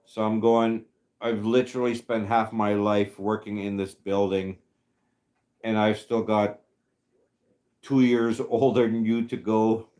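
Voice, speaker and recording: Slow, halting man in his fifties. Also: fairly low pitch, level tone, a little mellow. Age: 50s. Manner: rambling, halting, many fillers. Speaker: livestream host reading chat. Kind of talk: casual livestream chat.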